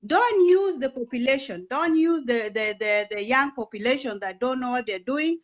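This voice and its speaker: Woman, 30s, raised in Kenya; earnest, persuasive interview guest, slightly higher voice, dull lo-fi sound. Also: animated, moderate pace. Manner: earnest, persuasive, asks rhetorical questions. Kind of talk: impassioned political talk.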